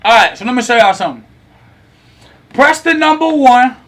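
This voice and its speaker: A man in his thirties hosting a lively talk, teasing and quizzing his online audience. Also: very high voice, moderate pace. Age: 30s. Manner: animated, teasing, asks the audience questions. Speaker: host.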